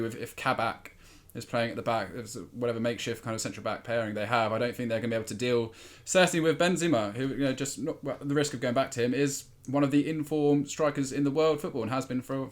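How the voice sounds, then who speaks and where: A man with a mid-range voice. He chats analytically about sport as a podcast guest, measured and a little tentative as he builds his argument. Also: mid-range voice, steady tone. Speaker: football podcast pundit.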